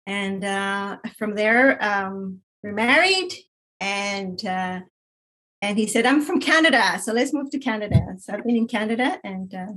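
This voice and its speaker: Woman, from Colombia, middle-aged, breathy, soft, hesitant. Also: mid-range voice, poor audio. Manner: soft-spoken, many fillers and pauses. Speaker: podcast guest. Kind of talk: casual personal testimony.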